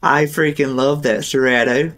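A man hosting a gameplay video, his voice hoarse as he recovers from strep throat, chatting in a rambling, casual way. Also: medium pitch, steady tone, normal speed, a bit bright. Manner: chatty, rambling, laughs now and then. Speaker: gaming channel host.

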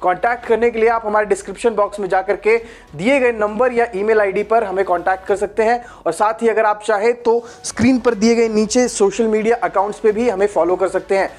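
A man's voice: sits high, fairly even intonation, brisk. A young man hosting a how-to video, walking viewers through a website in a friendly, chatty way and often calling them friends. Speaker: YouTube channel host. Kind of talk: informal tutorial walkthrough. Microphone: lapel mic. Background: faint background music.

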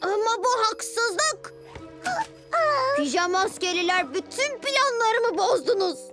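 A woman's voice: very high voice, lively intonation, slow, full character voice. A woman voicing a scheming young boy ninja villain in a children's cartoon, boastful and commanding. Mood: sulky. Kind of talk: Turkish-dubbed children's cartoon acting. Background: music.

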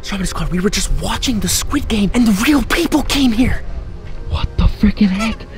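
A man's voice: sits high, animated, moderate pace. A young man vlogging at home with a handheld camera, talking frantically to his viewers, with music under him. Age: young adult. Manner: frantic, exclaiming, addresses viewers often. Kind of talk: excited prank vlog narration. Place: house and front yard, in and out of doors. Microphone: handheld camera at arm's length.

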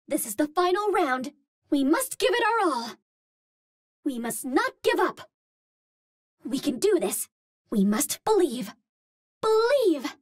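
An actress playing a cute, earnest young Martian heroine in a video game, speaking politely in precise, formal phrases. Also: female voice, sits high, exaggerated voice. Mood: determined. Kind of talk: video game character voice lines.

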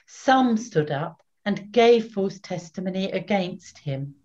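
Older woman narrator, unhurried solemn scripture reading, dull home webcam sound. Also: mid-range voice, expressive, warm tone. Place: small plain room at home.

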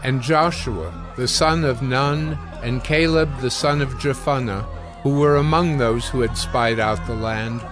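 Solemn male Bible narrator over music. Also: mid-range voice. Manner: measured, solemn storytelling. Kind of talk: scripted narration of a dramatized audio Bible.

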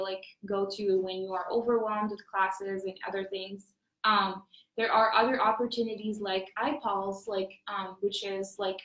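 A young woman from Morocco speaks in a mid-pitched, easygoing voice, filling her talk with little fillers. She sits at a table in a meeting room, her voice ringing in the room's echo. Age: young adult. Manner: chatty, many fillers. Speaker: student ambassador. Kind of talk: casual panel chat. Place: meeting room with branded backdrop. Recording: echoey conference room.

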